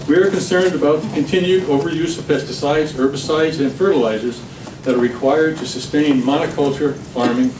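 A middle-aged man reading prepared testimony in a measured, formal way at a public hearing. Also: fairly high pitch, fairly even intonation. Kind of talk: prepared testimony read aloud. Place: government committee hearing room. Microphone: camera across the room. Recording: distant, echoey room recording with background noise.

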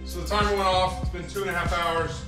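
A man in his thirties talking through a home cooking vlog in a relaxed, jokey way, filmed in a large kitchen by a camera across the room. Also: high-pitched, fast talker, distant mic. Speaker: cooking vlogger. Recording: roomy kitchen sound from the camera, music behind. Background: background music.

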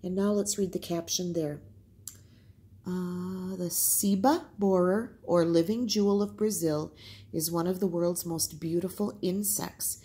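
A woman teacher reads aloud and explains things simply. Her voice is husky and slightly low, and she takes her time over the words. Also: steady tone, measured pace, warm tone. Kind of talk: storytime lesson, reading aloud with explanations.

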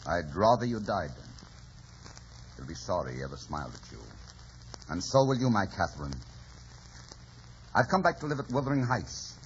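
An actor playing a passionate, brooding and vengeful lover with sardonic menace, in an intense theatrical old-time radio drama style. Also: male voice, deep voice, sing-song, normal speed, pauses often. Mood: bitter, vengeful. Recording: muffled old radio broadcast with hiss.

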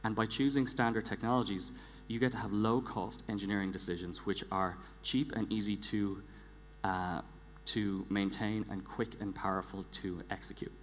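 A man speaks from a big stage with long hall reverb and a clear background hum. His voice sits fairly low, and he delivers a prepared presentation deliberately, repeating words for emphasis. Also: steady tone. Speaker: conference speaker, engineering director. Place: large theatre stage, indoors.

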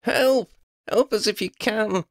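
A man's voice: extremely high pitch, lively intonation, normal speed, creaky, a bit bright, voice pushed up, heavily acted. A man voicing an earnest, fiery young woman, a witch in a storybook, pleading and emphatic. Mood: shouting.

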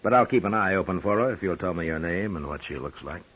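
A stern frontier marshal in a 1950s radio western. His voice is very deep and husky, and he talks in short, terse lines. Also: lively intonation, fast talker, a bit bright, heavily acted. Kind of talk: 1950s radio drama acting. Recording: dull old radio broadcast.

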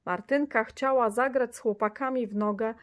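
A woman reading a children's story aloud, lively, with the children's lines in brighter, higher voices. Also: normal speed.

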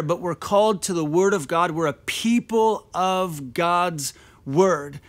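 A man in his thirties preaching a sermon on a dark stage, earnest, often repeating phrases as he builds his point. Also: sits high. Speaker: pastor.

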